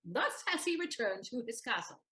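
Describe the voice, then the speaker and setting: An actress plays a sharp-witted young maid who teases and mocks. Her voice sits high and leaps around with lively swings in pitch. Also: normal speed. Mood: knowing. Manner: teasing, sharp-witted, mocking. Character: Susanna, clever teasing young maid, female. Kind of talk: stage audio play acting.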